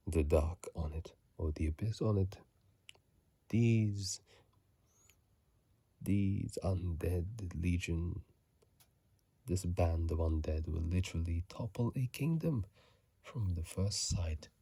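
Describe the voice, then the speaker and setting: A man whispering casual ASMR game commentary in a hushed, breathy voice, close to a phone microphone. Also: sits low, no room sound. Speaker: ASMR video host.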